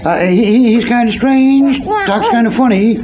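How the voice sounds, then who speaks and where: Hesitant small-town builder, folksy and awkward, vintage radio drama, clearly noisy sound. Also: male voice, extremely high pitch, big pitch swings, measured pace, exaggerated voice. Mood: reluctant. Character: hesitant, plain-spoken local builder. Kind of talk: old radio serial acting. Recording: noisy old radio recording with clicks.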